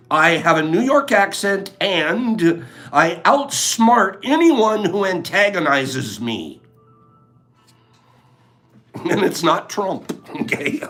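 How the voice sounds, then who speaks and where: A man's voice: fairly high pitch, a bit bright. A man hosting a casual livestream, chatting with viewers and running giveaways in a playful, teasing way. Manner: playful, teasing, talks straight to chat. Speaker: livestream host.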